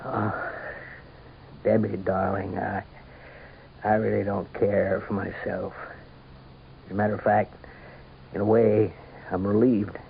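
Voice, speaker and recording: An actor playing a weary, principled governor and husband in a radio drama, speaking earnestly and with resignation. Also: male voice, on the low side, flat delivery, takes it slowly, creaky, pauses often. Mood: tender relief. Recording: muffled, noisy old radio broadcast.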